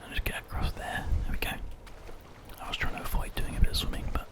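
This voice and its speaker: A British man whispering breathily, very close to the mic, in a gentle, unhurried ASMR game commentary. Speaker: ASMR host and gamer. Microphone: very close microphone.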